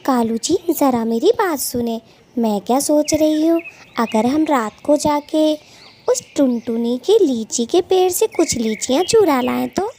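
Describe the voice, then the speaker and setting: A woman's voice: sits high, expressive, unhurried, mellow, slight background noise. A woman voicing a bossy, quarrelsome female cartoon bird with a scolding, taunting way of talking. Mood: wheedling, scheming. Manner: scolding, taunting, colloquial bickering. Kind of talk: Hindi cartoon story dialogue.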